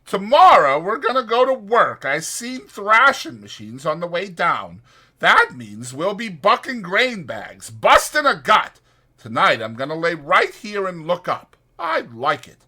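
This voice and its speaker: A man's voice: high-pitched, wildly swooping pitch, unhurried, pushed and bright, voice pushed up, full character voice. A man voicing an impatient, bossy but caring ranch hand who talks in a brusque rural American vernacular in an audiobook. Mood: easygoing, bossy.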